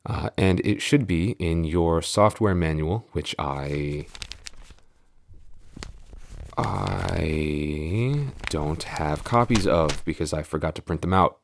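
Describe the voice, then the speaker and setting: A man acting as a very low-voiced tsundere office boyfriend in an audio roleplay. He is shy and easily flustered, stumbling over his words as he talks. Faint ambient noise sits behind him. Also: takes it slowly, creaky, exaggerated voice. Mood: businesslike, hesitant. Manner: stammering, hesitant, easily flustered.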